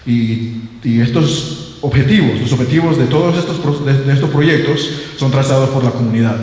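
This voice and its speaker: A man's voice: mid-range voice, moderate pace, a little mellow. A young man from Panama, a native English speaker who talks in Spanish, gives a hesitant academic seminar talk as a linguist, with many fillers and restarts. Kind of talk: academic presentation. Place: seminar room. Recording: echoey hall with long reverb.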